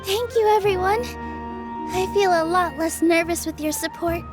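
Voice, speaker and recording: An actress playing a gentle, self-doubting young woman in a game dub, sincere and hesitant. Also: extremely high pitch, moderate pace, over music. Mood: grateful, resolved. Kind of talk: English game dub acting.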